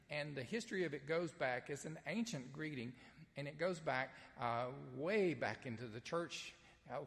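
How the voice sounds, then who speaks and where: A man's voice: on the high side, a bit bright, in a large hall. A man with a Southern US accent preaching an Easter sermon and reading scripture, in a folksy, conversational way with frequent ums and uhs. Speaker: pastor. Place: church sanctuary, streamed live.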